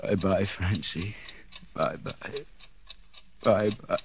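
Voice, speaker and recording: A man's voice: low-pitched, slow. An actor playing a bound, desperate man coming apart in a cellar, stammering and pleading in overwrought 1940s radio suspense style. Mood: anxious, muttering. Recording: dull, noisy 1940s radio recording.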